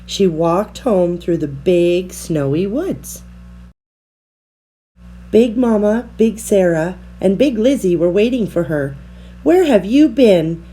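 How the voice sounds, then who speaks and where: A woman reading a children's story aloud with expressive, deliberate narration. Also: lowish voice. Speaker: storybook reader.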